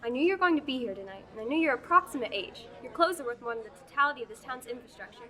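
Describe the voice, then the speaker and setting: A woman's voice: high voice, animated, racing, bright, forceful tone. A teenage actress playing a blunt, sarcastic young farm girl in a school radio play. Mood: brisk. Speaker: student actor. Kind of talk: amateur radio play acting.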